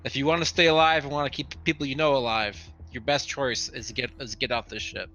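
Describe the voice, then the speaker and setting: A man's voice: mid-range voice, steady tone, quick pace, sharp tone. A man playing a tabletop roleplaying game, talking casually and speaking for his character. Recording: dull, lo-fi voice audio.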